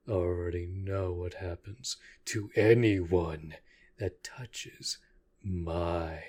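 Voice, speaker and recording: Vampire lord of the manor, played by a man: very low, husky voice, formal and commanding, protective, for audio roleplay. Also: a little mellow, full character voice. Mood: cold, possessive. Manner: formal, measured, authoritative. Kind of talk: scripted audio roleplay acting.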